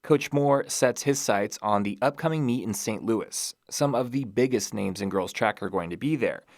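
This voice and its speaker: A man's voice: lowish voice, normal speed, no room sound, studio quality. A man hosting a history podcast, reading scripted narration with dramatic, playful storytelling. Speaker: podcast host.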